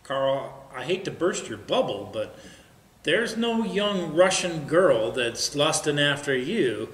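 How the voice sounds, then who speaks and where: Actor as a bright-voiced, needling bar buddy guy. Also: a little high, big pitch swings, slow, exaggerated voice. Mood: blunt, skeptical. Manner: folksy, needling, plain-spoken. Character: blunt, teasing, skeptical bar buddy, married man. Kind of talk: stage comedy dialogue. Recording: echoey room with background noise.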